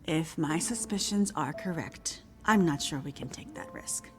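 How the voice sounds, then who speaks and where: A slightly low older woman's voice over faint music. She is a guarded scientist and mentor in a game cutscene, choosing formal, precise words with care. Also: expressive. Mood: grave, cautious. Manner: measured, formal, precise wording. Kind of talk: video game cutscene acting.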